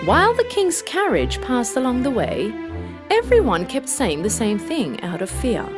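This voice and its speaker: A woman narrating a children's fairy tale from a script in a dramatic, expressive storytelling manner. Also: on the high side, normal speed. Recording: music bed behind voice.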